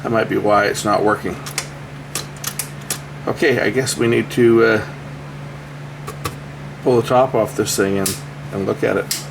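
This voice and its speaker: A man's voice: lowish voice, fairly even intonation, measured pace, vocal fry, long pauses, noisy background. A man giving casual, unscripted repair commentary, thinking aloud as he works with his hands. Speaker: electronics repair video host.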